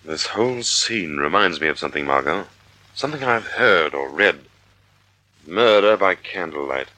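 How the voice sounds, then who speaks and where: An actor playing a suave, wry gentleman sleuth with a dramatic delivery in a vintage radio mystery. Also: sits low, lively intonation, normal speed, exaggerated voice, low-quality recording. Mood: brooding. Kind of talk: 1940s radio acting. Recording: old radio broadcast recording.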